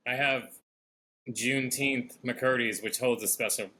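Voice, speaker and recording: Comedy podcast co-host, male, teasing and rambling, bright tone. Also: mid-range voice, fairly even intonation, a little slow. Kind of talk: crude joking banter.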